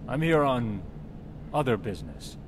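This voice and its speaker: Blunt middle-aged fantasy game warrior, guarded, mid-pitched voice, noisy ambience. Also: male voice, sing-song, measured pace. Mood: wary, impatient. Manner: guarded, gruffly direct. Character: wary, blunt middle-aged armored warrior, old friend. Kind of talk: scripted fantasy game dialogue.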